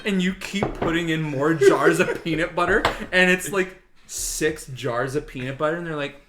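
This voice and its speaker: A person chatting casually and comedically on a podcast, animated and quick to banter and swear. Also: medium pitch, background noise.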